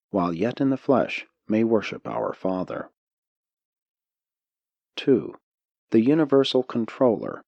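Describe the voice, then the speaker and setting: A man narrating a spiritual audiobook in a solemn, measured way. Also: on the low side, gravelly, a little mellow, no echo. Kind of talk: scripted narration of a spiritual text.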